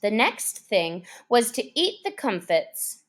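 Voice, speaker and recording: A woman reading a children's story aloud as an expressive audiobook narrator. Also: fairly high pitch, takes it slowly. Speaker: storyteller, reader.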